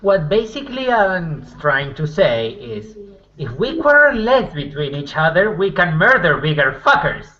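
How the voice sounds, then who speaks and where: Guy playing a tabletop rpg, casual banter, lively highish voice, bit lofi. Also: normal speed, highs cut off. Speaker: roleplaying game player.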